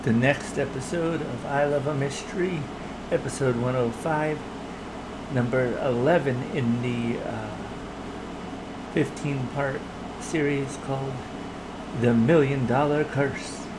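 A man introducing old radio episodes as host, speaking plainly in halting phrases. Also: medium pitch, steady tone. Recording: clear background hum and noise.

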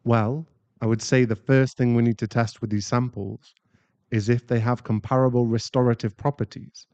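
A man's voice: medium pitch, steady tone, normal speed, a little mellow, lo-fi sound, lightly acted. A man playing a composed, coolly authoritative doctor in an audio drama, speaking formally and precisely. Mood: cool, businesslike.

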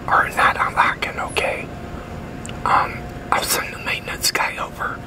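A man in his sixties from the United States whispering a hesitant ASMR roleplay as a leasing agent. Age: 60s. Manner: hesitant, halting. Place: outdoor covered picnic pavilion with metal tables.

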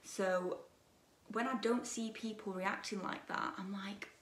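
A young woman in her twenties chatting while she does her makeup at a dressing table, gossipy and opinionated, her voice a bit croaky. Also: medium pitch, steady tone, unhurried, a bit bright, echoey room, distant mic, slight background noise. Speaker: beauty vlogger. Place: bedroom dressing table with lit mirror, indoors.

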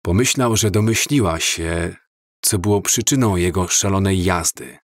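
Male audiobook narrator, unhurried and measured, lowish voice, dry close recording. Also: no room sound. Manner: slow, measured, calm reading. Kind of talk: scripted storybook narration.

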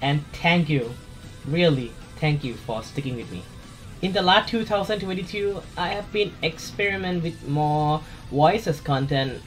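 A man with a Thai accent, hosting his gaming channel in a casual voice-over chat, soft-spoken and a little hesitant. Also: a little high, animated, unhurried, soft background music.

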